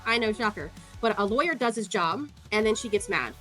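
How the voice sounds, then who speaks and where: Woman, mid-pitched voice, casual scornful commentary, sarcastic, swears, faint music behind. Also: expressive, fairly quick, a bit bright. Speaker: online commentator. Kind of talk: casual commentary walking through court records.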